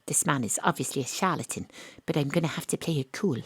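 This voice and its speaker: An actress playing a wry, world-weary private detective, deadpan and confiding, in noir audio drama style. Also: female voice, sits low, fast, breathy edge, dry recording, full character voice. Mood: guarded, dry. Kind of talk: noir detective narration and dialogue.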